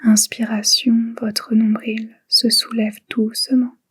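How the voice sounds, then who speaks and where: Very slow, breathy woman guiding meditation. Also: a little high, little pitch movement. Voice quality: breathy, whispery. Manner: soothing, hushed, spaced short phrases. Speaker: guided meditation instructor. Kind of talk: guided relaxation meditation, soft and unhurried.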